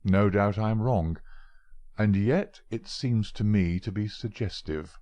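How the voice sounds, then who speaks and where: A cool, confident detective who questions in a measured, probing way, read by a man. His voice is low-pitched and steady, close to the reader's own. It has a dry, close sound. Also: dry recording, highs cut off, close to an everyday voice. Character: sharp, confident male detective, middle-aged.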